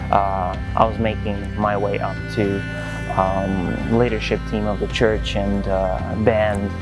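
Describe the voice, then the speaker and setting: Man, Albanian accent, young adult, low voice, earnest halting testimony. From Kosovo. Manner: earnest, halting, many fillers and restarts. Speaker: church leader giving testimony. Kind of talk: personal testimony interview. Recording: music playing behind.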